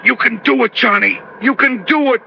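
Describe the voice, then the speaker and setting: A man's voice: very high voice, vocal fry, full character voice. A man playing a hearty, folksy cargo pilot struggling with pain in a 1950s radio drama, on a dull old recording. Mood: agonized, urgent. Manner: folksy, halting, gasping.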